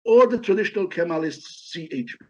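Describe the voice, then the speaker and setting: A middle-aged man from Lebanon speaks in a fairly high voice through slightly dull, lo-fi audio. He explains like a professor being interviewed, feeling his way haltingly through detailed answers. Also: animated, darkish tone. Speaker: history professor, interview guest.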